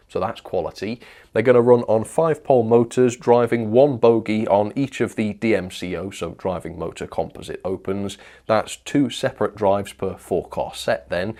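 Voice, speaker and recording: A man's voice: lowish voice, darkish tone. A man presenting hobby news in an informal review style, chatty, enthusiastic and ready with his opinions. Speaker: presenter of a model railway news show. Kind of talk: informal product news review to camera or as voice-over.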